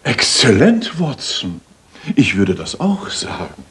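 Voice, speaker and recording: An actor playing a brilliant, self-assured detective in a German radio play, with a pompous, theatrical, analytical manner. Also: male voice, mid-range voice, wildly swooping pitch, takes it slowly, a bit bright, husky, exaggerated voice. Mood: probing, assured. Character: brilliant, observant male master detective, brisk and self-assured.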